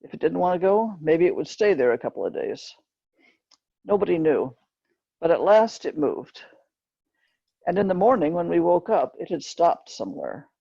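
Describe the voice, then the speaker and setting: Husky woman reading a story aloud. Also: on the low side, very animated, normal speed, pauses often, dark tone. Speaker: author reading from a book. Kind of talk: scripted narration, book reading. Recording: dull, roomy lo-fi recording.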